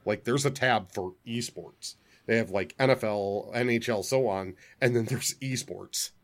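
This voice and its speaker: A man talks games on a podcast, keen and quick to restart his sentences. His voice sits somewhat low. He sounds casual and engaged. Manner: enthusiastic, repeats words when starting. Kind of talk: casual gaming chat.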